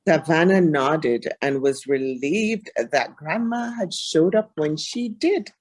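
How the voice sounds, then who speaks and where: A woman's voice: deep voice, slow, darkish tone. A woman reading a children's storybook aloud expressively.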